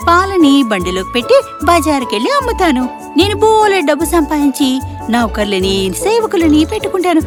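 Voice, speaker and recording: Cartoon village milkmaid girl: very high, swooping, excitable and boastful daydreamer, over music. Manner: excitable, wistful musing aloud. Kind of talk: animated children's fairy tale acting.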